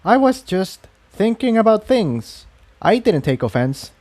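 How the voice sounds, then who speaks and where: A man's voice: high voice, very animated, measured pace, a little mellow, no room sound, pitched above the performer's own voice. A man voicing a reserved, introspective female refugee pony in a fantasy storybook reading. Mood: reassuring.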